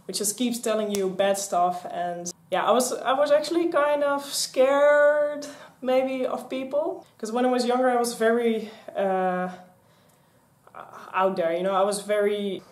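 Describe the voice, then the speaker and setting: A woman's voice: fairly high pitch, a little slow. Chatty Dutch woman in an echoey room. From Netherlands. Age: 20s. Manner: chatty, rambling, gesturing a lot. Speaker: vlogger. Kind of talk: casual vlog chat. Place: small craft room with shelves and mannequins, indoors. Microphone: camera on a stand in front.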